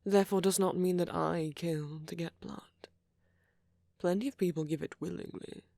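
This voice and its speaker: A man acting a friendly, flirty, apologetic vampire in a soft ASMR roleplay, gentle and hesitant, with a husky voice that often drops toward a whisper. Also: high voice, fairly even intonation, normal speed, no room sound, clean studio sound. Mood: mildly indignant. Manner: gentle, hesitant, teasing, with soft chuckles and endearments.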